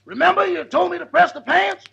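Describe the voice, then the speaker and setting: An actor playing a wisecracking, gravelly-voiced valet who is cheeky with his boss in a 1940s radio comedy. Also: very high-pitched, fairly quick, full character voice. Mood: sassy. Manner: loud, sassy, deadpan comebacks. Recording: noisy, muffled 1940s radio broadcast.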